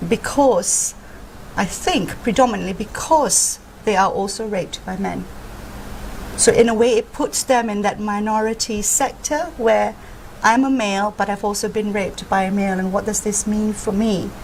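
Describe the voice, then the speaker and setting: A woman from Malaysia, a psychotherapist, speaking as an interview guest in a calm, measured and frank way about her work. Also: medium pitch, a little hiss or hum, poor audio.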